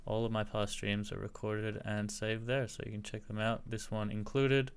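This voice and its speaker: A man with a slight American accent, chatting casually while teaching a live-streamed software tutorial and answering viewers. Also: lowish voice, little pitch movement, moderate pace, creaky, clear, resonant tone.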